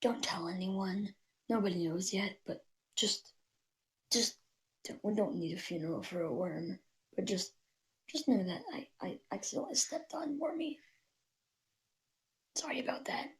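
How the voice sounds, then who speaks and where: A woman puppeteer chatting casually as a guest, in a halting, hesitant way. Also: mid-range voice, animated, low-quality recording. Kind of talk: casual chat.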